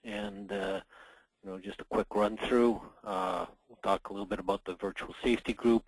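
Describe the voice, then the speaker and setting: A middle-aged man, hoarse from a cold, presenting a workplace safety webinar in a measured way with frequent pauses. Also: on the low side, steady tone, no echo, poor audio. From Canada. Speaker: association general manager presenting a webinar.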